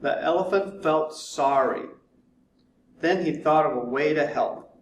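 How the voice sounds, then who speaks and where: Male storybook reader, unhurried and deliberate, slightly high voice, recorded in an echoey room. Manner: deliberate, drawn-out repetition for effect. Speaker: fire chief reading to children. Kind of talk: children's storybook narration. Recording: roomy echo, distant mic, faint noise.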